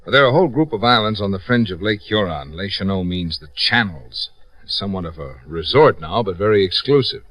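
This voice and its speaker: An actor playing a helpful small-town American police captain in a 1950s radio drama, explaining things in a deliberate, folksy way. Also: male voice, low-pitched, expressive, a bit bright, dry recording. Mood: helpful, informing. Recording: vintage radio recording.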